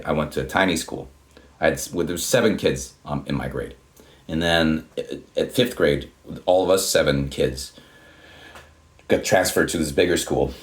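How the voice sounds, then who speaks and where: Very deep-voiced man rambling. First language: Swedish. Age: 30s. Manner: rambling, many restarts and swearing. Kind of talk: casual storytelling.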